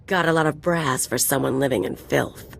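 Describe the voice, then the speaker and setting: Mocking female gun-for-hire, deep and creaky, brash game companion. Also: normal speed, a little hiss or hum. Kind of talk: acted video game dialogue.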